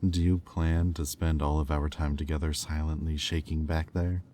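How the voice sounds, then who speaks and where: A man playing a haughty, menacing demon in roleplay audio, speaking in a condescending, deliberate, taunting drawl. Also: extremely low pitch, flat delivery, warm tone, a little hiss or hum. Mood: threatening, taunting. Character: haughty, menacing male demon buyer, coolly cruel and mocking. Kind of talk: slow, intimate roleplay audio acting.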